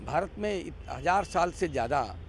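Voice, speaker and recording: Emphatic man with a creaky, higher-pitched voice. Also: expressive, moderate pace, a little hiss or hum. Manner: emphatic, repeats phrases, rhetorical questions. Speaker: interview guest giving political commentary. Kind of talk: argumentative political interview.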